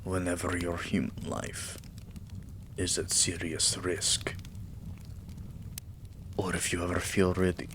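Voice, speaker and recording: A man playing a tender, devoted vampire king in a romantic ASMR roleplay, speaking in a hushed, breathy, soothing way that often slips into a whisper. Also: sits low, monotone, noisy background. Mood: loving, solemn. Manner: hushed, slow, soothing, affectionate.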